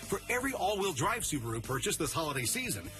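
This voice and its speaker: A man's voice: on the high side, sing-song, fast talker. A man reading a scripted, upbeat retail advert for a car dealership. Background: music.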